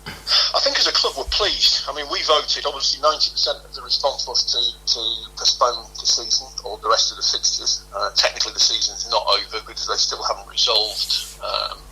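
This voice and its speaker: A man's voice: medium pitch, fairly quick, creaky, bright, forceful tone, slight background noise, poor audio. A middle-aged man answering interview questions as a football club official, explaining at length and repeating himself as he goes. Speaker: football club head of operations, interview guest.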